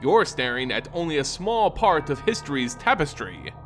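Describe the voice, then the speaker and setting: A man voicing a calm, condescending old vampire grandfather in a fantasy story, measured and lofty. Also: on the high side. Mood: calm, dismissive. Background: background music.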